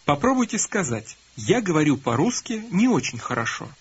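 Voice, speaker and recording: A man leads a language course in a calm, instructive tone, prompting the listener to repeat each phrase. His voice sits a little high and sounds breathy and husky. The recording is dull and lo-fi. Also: moderate pace. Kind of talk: scripted instruction prompting learners.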